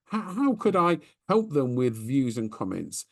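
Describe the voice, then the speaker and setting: A man co-hosting a business podcast in relaxed chat, thinking aloud as he speaks. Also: medium pitch, a little slow, vocal fry. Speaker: podcast co-host.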